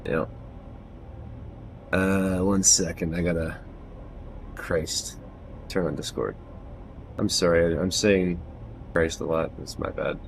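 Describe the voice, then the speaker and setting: A man in his thirties chatting casually on a live stream from a small room at home, loud-voiced, loose and self-deprecating, with frequent swearing, on a headset mic. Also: low voice, fairly even intonation, slow pace, pauses often, slight background noise, rough recording. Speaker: game streamer.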